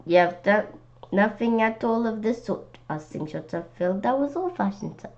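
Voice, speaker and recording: A woman's voice: mid-range voice, animated, moderate pace, darkish tone, voice pushed up, lightly acted. A woman voicing a boastful, scolding male army chief from a storybook, on a slightly muffled recording. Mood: dismissive, boastful.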